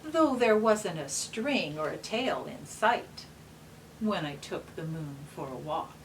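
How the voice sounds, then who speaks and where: Female children's read-aloud host, very unhurried and patient, simple explanatory phrasing, recorded off-mic with slight noise. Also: fairly low pitch, big pitch swings. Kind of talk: gentle explanatory talk and picture-book read-aloud for young children. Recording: distant mic with faint background noise.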